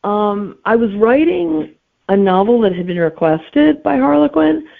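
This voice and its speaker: A woman's voice: a little high, animated, a little slow, gravelly. A middle-aged woman talking casually as an interview guest, over a narrow, tinny line. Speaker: guest author and teacher.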